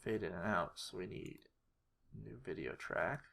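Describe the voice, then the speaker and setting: Halting, creaky-voiced tutorial creator, noisy recording. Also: low-pitched, a bit bright. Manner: halting, muttering to self while working. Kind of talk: informal screen-recorded editing walkthrough. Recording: noisy home recording, keyboard and clicks. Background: mouse clicks and keyboard typing.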